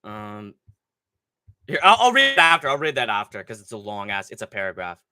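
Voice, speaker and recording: A man's voice: on the low side, brisk, slight background noise. A young man hosting a casual livestream, with a stuffy nose from a cold, rambling and swearing as he talks through fight picks. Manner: profane, rambling, excitable. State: nasal from a cold. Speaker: livestream host.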